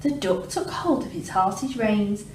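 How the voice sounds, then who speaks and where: A woman reading a rhyming children's storybook aloud like a primary teacher, in an expressive, measured way. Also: mid-range voice. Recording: roomy, distant mic with clear background noise.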